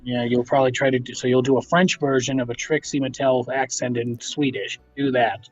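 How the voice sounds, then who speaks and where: A man's voice: medium pitch, fairly even intonation, a little fast, no room sound. A man co-hosting a game stream with casual, teasing banter. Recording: dull lo-fi mic, music behind. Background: game music.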